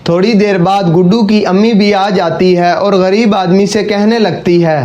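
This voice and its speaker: A man's voice: high-pitched, steady tone, normal speed, a little mellow, dull audio. A man delivering scripted narration for a cartoon moral story.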